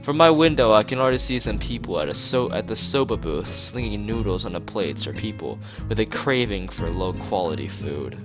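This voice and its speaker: A man with a fairly low, very animated voice reads a visual novel aloud for a stream, slipping into casual asides. Guitar music plays behind him. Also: fairly quick, few pauses. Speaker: gaming streamer.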